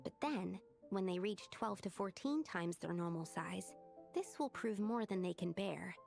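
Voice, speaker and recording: A young female alchemist in a video game. Her mid-pitched voice is earnest and analytical, laying things out precisely over faint music. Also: unhurried, gravelly, darkish tone. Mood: earnest, explanatory. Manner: precise, explanatory.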